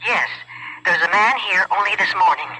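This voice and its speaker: An actress playing a nervous, evasive wife in a radio drama, hesitant and husky, heard through a telephone filter. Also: female voice, medium pitch, moderate pace, pushed and bright, a little hiss or hum, rough recording. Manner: hesitant, faltering. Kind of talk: 1970s radio drama acting.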